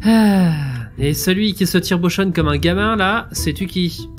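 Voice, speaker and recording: An actor playing a grumbling, reluctant adventurer in a comic audio drama, sarcastic and exasperated. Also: male voice, sits high, normal speed, lightly acted. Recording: music behind the voice.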